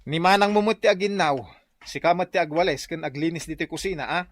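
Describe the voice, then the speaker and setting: A man playing a bossy, excitable husband and father in an Ilocano radio drama, with a commanding manner. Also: a little high, quick pace, background noise, natural voice. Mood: stern. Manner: commanding, swings from muttering to yelling.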